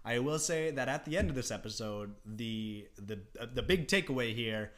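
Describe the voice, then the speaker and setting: A man with a bright, clear voice co-hosts a relaxed podcast chat. He speaks candidly and swears freely, with easy laughter close at hand. His pitch sits in an ordinary middle range. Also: normal speed. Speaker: podcast co-host. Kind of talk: casual chat.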